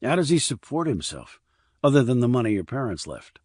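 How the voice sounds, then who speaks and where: A man's voice: mid-range voice, very animated, brisk, darkish tone, breathy edge, no echo, studio quality. A man voicing a terse, probing military investigator with clipped, direct questioning in an audiobook. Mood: probing, matter-of-fact. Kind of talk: audiobook character dialogue.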